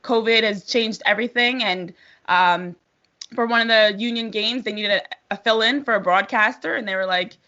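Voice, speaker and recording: Bright, forceful American woman in an interview. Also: medium pitch, moderate pace, dull audio. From New Jersey, United States. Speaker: sports broadcaster being interviewed. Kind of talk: conversational interview storytelling.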